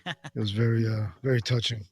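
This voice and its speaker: Male caller, average pitch, casual conversation, dry recording. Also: steady tone, a little fast, a little mellow, slightly rough, no room sound. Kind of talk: casual chat.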